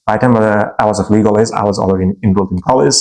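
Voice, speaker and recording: A man's voice: on the low side, fairly even intonation, racing, darkish tone. A man in his thirties from Nepal, a native Nepali speaker, telling his story as a guest in a casual interview, with many fillers and restarts.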